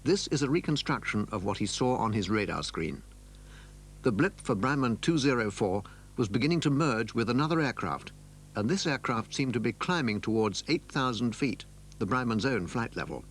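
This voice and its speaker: A man's voice: medium pitch, expressive, moderate pace, a little hiss or hum. A man reading scripted narration for a documentary.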